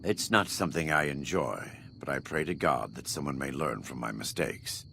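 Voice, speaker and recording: An actor playing a devout, vengeful former warlord in a video game, speaking in a solemn, measured, preacherly way with a gravelly voice. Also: male voice, rumbling low, bright, forceful tone, slight background noise, heavily acted. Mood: grim, condemning. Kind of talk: video game dramatic dialogue.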